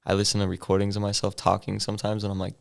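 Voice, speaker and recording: Young male rapper guest: very low, flat, gravelly voice, relaxed slangy delivery. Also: rapid-fire. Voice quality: deep bassy voice that he says sounds mumbled. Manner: laid-back slang-heavy storytelling with many fillers. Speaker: hip hop artist guest. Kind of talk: casual interview chat.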